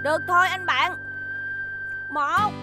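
A woman dubbing a cheeky, excitable young schoolboy in a childlike, boisterous cartoon manner. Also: very high-pitched, takes it slowly. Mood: playful. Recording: studio dub under loud music.

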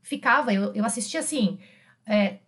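A woman's voice: a little high, moderate pace, a bit bright, slightly rough. A middle-aged woman with a Brazilian Portuguese accent teaching an informal online French lesson in a chatty, emphatic way, repeating key phrases. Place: small indoor room with bookshelves.

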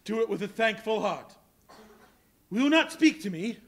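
Older miser on stage played by a man, fearful and earnest, high voice. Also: big pitch swings, normal speed. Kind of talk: live stage play. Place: large hall with audience.

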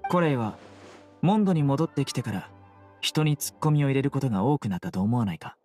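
A man voicing a stoic, proud young guardian in an anime game, terse, serious and deadpan. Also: medium pitch, fast talker, faint music behind. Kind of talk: Japanese anime game voice acting.

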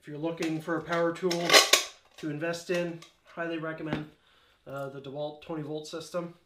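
A man's voice: fairly high pitch, little pitch movement, normal speed. Chatty man in an echoey garage. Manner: chatty, offhand, with small jokes and asides. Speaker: DIY home gym channel host. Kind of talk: casual how-to vlog. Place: cluttered garage home gym, indoors. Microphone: camera-mounted mic, a few meters off. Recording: echoey garage room with faint noise.

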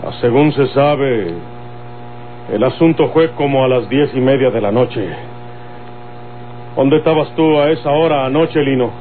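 A man's voice: mid-range voice, a little slow, pauses often, phone-quality sound, close to an everyday voice. Guy doing a serious mexican outlaw leader, radionovela style, phone-thin sound w faint hum. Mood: firm, commanding. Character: grave, measured male bandit leader of the Mexican countryside. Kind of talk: old Mexican radionovela acting. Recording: thin old radio recording with mains hum.

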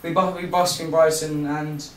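Teen guy on stage, halting story, stumbles a lot, far away and echoey. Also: on the high side, flat delivery, slight background noise. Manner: halting, lots of restarts. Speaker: busker telling a story. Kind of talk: nervous live storytelling. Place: small room at a live storytelling night. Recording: echoey room, far camera.

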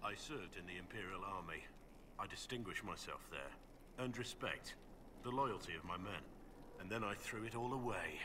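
A man voicing a brooding, guilt-ridden bearded warrior in a video game, speaking low and gravelly. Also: animated, normal speed, background noise.

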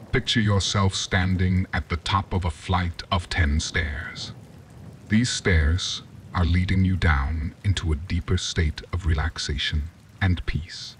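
A man narrating a guided sleep meditation in a calm, soothing, gentle instructional manner. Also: deep voice, breathy edge, recorded from a distance.

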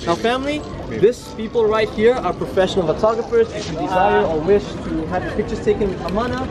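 Young guy from malaysia doing a jokey outdoor tour, voice on the high side, filmed from a few steps away. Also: a little fast, over loud music. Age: 20s. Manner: upbeat, playful, jokey, keeps calling the group "family". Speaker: tour guide. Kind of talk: guided tour talk. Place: outdoor cultural park with food trucks. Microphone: camera a few steps away.

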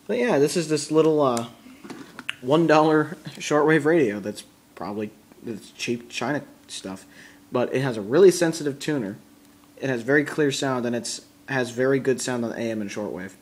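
A man's voice: medium pitch, moderate pace. A man chatting casually and bluntly as he demonstrates something on a home video. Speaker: amateur video maker showing a radio. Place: indoors at a wooden table. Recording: noisy home recording with radio static.

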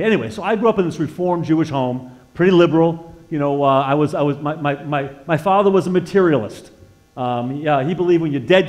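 An American man in his sixties giving an informal sermon with personal testimony as a guest preacher in a church, conversational and halting, repeating words as he feels his way and putting questions to his listeners. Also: fairly high pitch, darkish tone. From northeastern United States. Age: 60s. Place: church sanctuary, indoors, at a lectern.

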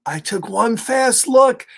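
A man's voice: sits high, fairly bright tone, a little hiss or hum. An American man in his fifties reading a picture book to children expressively, with playful, chatty asides to his young viewers. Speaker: storyteller for children. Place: small home room, indoors.

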